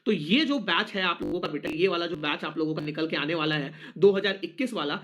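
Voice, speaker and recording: Young indian guy teacher, high voice, explaining stuff to students, repeats himself for emphasis, mixes in english. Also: a bit bright. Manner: emphatic, repeats key phrases; mixes English words and phrases into speech. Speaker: online physics teacher. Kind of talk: informal live lesson addressed to students.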